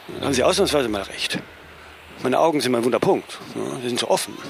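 An actor playing a vain, cantankerous celebrity philosopher in a radio play, imperious and full of reproach. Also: male voice, mid-range voice, animated, a little fast, creaky, a little hiss or hum. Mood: musing, proud. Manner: imperious, reproachful, verbal tags like "ne?". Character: vain, cantankerous celebrity philosopher, prickly about his mother. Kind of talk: German radio play dialogue.

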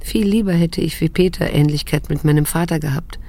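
An actress playing a wounded, restrained middle-aged daughter who narrates in a radio drama, measured and introspective. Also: female voice, sits low, mellow. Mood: remorseful.

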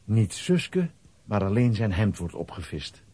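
A man's voice: on the low side, very animated, unhurried, very noisy. A man narrating a children's audio drama in a scripted storytelling style.

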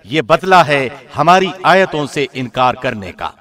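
A man reading a scripture translation from a script in a formal, solemn radio presenter's style with deliberate enunciation. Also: medium pitch, expressive, fairly bright tone. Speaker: translation reader, radio host.

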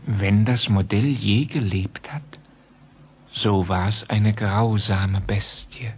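An actor playing a proud, effusive provincial antiquarian in middle age, florid and courteous, in a German radio play. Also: male voice, fairly low pitch, fairly even intonation, takes it slowly, a little mellow, slightly rough. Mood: dark, fascinated.

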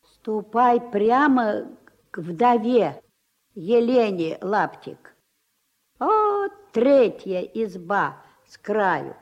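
An actress playing a small, wizened old village woman in a radio drama, with unhurried, folksy rural speech. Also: mid-range voice, very animated, full character voice. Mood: matter-of-fact, folksy. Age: older. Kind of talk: Soviet radio drama acting. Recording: dull, lo-fi 1970s radio recording.

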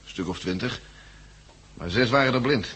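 An actor in a 1960s radio drama playing a cheeky, rough working-class man who talks slangily and jokingly. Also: mid-range voice, normal speed, a bit bright. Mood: amused. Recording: noisy old 1960s radio recording.

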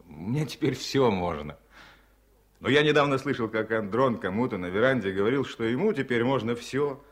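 An actor playing a gravely ill man who faces death with wry tenderness, in expressive, drawn-out 1970s Soviet radio drama style. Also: medium pitch, lightly acted. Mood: wry, playful.

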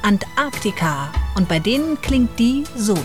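Higher-pitched woman radio host. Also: big pitch swings, measured pace, music in the background. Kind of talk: chatty radio presentation for children. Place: radio studio.

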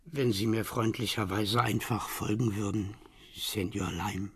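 Fat jail guard, husky lowish voice, super courteous and servile, radio drama. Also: male voice, steady tone, measured pace, a bit bright, no echo, studio quality, full character voice. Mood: anxious courtesy. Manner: overly polite, hesitant. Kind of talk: radio crime drama acting.

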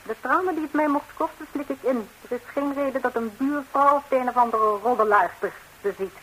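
A betrayed lady of the house in a 1960s radio comedy. Her voice is fairly high, and she stays composed, delivering sharp, deliberate retorts. A faint hiss runs under the old recording. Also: low-quality recording. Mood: resigned resolve. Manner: cool, pointed, deliberate retorts.

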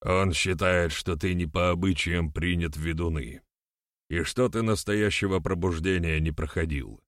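Guy doing an earnest clan elder in an audiobook, real low voice, admonishing, urgent, very dry sound. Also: moderate pace, no room sound, lightly acted. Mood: cautioning. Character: earnest clan elder, a conciliatory man of authority.

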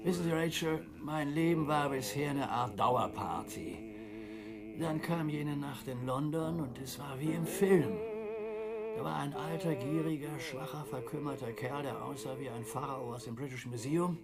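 An actor in a radio play voicing a disillusioned, bitterly reflective former playboy in a measured, brooding way. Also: male voice, mid-range voice, loud music behind the voice, close to an everyday voice. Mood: bitter, weary. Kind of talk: 1980s German radio play acting. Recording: loud crowd chatter behind the voice.

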